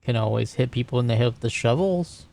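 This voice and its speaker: Creaky-voiced man in casual roleplay. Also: mid-range voice, animated, fast, darkish tone. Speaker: tabletop roleplay player. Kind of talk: casual in-character improvised game talk.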